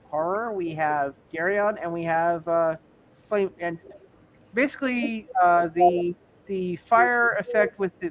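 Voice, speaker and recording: A man with a high-pitched voice runs a tabletop roleplay game as game master. He talks casually and haltingly, often repeating phrases as he works out the next move. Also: a little hiss or hum, rough recording.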